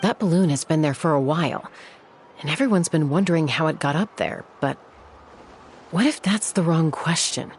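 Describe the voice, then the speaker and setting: A woman playing a composed, confident young flying expert in a video game, speaking in a measured way. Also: sits low, lively intonation, slight background noise. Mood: thoughtful. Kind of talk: video game character dialogue.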